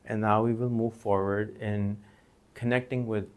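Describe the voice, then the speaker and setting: A man's voice: on the low side, flat delivery, moderate pace, clear, resonant tone. A man whose first language is Urdu, teaching an informal recorded lecture in a conversational way, with many fillers and restarts. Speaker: course instructor.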